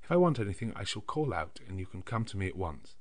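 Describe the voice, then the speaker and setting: A man voicing a frail, gentle young woman in an audiobook, earnest and sweetly trusting. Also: low voice, very animated, fast talker, voice pushed up. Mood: gently insistent. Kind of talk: dramatised audiobook character dialogue.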